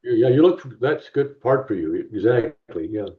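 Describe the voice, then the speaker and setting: Male guest, animated pitch, informal interjections, echoey lo-fi call sound. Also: normal speed. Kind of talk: casual chat. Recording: dull, roomy remote call audio.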